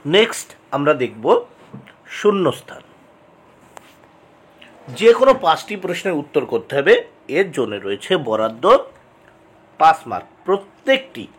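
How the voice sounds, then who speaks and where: A man teaches by reading exam questions and answers aloud. His voice sits a little high and has a husky edge, with pitch that moves up and down in a very lively way. He speaks to students directly and repeats key answers in a deliberate, instructional manner. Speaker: exam tutor.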